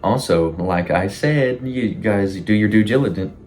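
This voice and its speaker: Very deep-voiced man rambling casually. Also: unhurried, a little mellow. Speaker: YouTube host. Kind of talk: casual commentary on a horror series.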